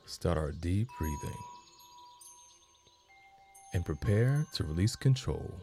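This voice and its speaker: A man narrating a guided meditation as host, in measured phrases with long pauses between them. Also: low voice, sing-song, mellow, soft background music.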